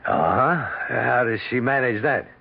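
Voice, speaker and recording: A jovial teasing doctor. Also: male voice, medium pitch, lively intonation, a little slow, gravelly. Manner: chuckling, teasing, friendly. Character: jovial good-humored small-town doctor. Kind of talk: 1970s radio drama acting. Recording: dull radio broadcast with faint hiss.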